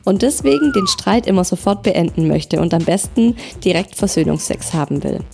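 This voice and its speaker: A woman's voice: fairly low pitch, lively intonation, moderate pace, vocal fry, dark tone, faint music behind. A woman co-hosting a casual podcast who reads listener messages aloud and adds chatty asides.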